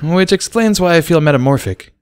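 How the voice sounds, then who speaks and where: A man voicing an excitable, panicky teenage unicorn mare in a dramatic storybook reading. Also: on the high side, a little fast, clear, resonant tone, pitched above the performer's own voice, natural voice. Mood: excited.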